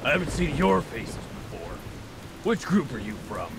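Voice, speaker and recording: A gruff pirate guard from a video game. The voice is gravelly and fairly high for a man, with blustery, swinging delivery. Rain and rumble are clearly heard behind. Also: big pitch swings, moderate pace. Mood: suspicious, demanding. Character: gruff, suspicious male pirate guard, hearty when won over. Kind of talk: video game character acting.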